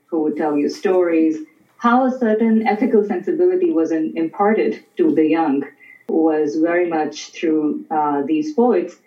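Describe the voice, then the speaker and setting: Guest scholar, Urdu, measured, dull lo-fi audio. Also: mid-range voice, warm tone. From Pakistan. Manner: measured, explanatory. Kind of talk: conversational interview. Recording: distant, roomy, dull remote audio.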